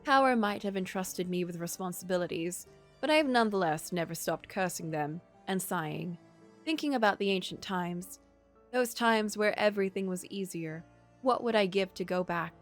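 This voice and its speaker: A woman's voice: mid-range voice, moderate pace, soft background music, natural voice. A woman voicing a lovesick, burdened young lord in a visual novel, reading out a poetic confession. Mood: wistful, tender.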